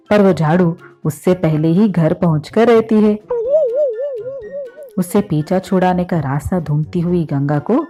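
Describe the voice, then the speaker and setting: A woman's voice: on the low side, dark tone. A woman narrator reading a scripted storybook tale.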